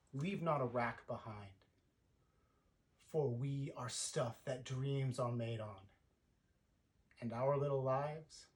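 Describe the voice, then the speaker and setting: A troubled old sorcerer, brought to life by a male actor, speaks classical verse at a slow pace. His voice sits in an ordinary male register. The delivery swells grandly and then falls away to a hush. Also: medium pitch, lightly acted. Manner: declaiming, with big swells and hushed fades. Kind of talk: classical stage monologue.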